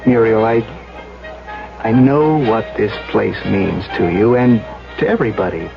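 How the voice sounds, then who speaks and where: An actor playing a conscientious, troubled young engineer in a 1970s radio drama, with a hesitant, stammering delivery. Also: male voice, on the low side, unhurried, gravelly, over music. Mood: troubled, reluctant. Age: young adult. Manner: hesitant, stammering, soft-pedalling. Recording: muffled old radio broadcast.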